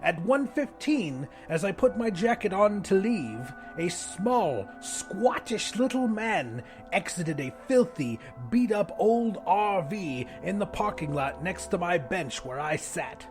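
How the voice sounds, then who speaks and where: A high-voiced man reads a first-person story with drama and expression. His pitch swings widely from phrase to phrase, and music plays softly beneath the narration. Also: big pitch swings, slow pace. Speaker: audiobook narrator.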